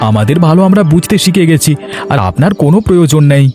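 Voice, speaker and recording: A man's voice: on the high side, lively intonation, fast talker, natural voice. A man playing a righteous young land officer in a cartoon, speaking earnestly and emphatically. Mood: defiant. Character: righteous, defiant young land officer, devoted to his mother. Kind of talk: Bengali cartoon voice acting. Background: music.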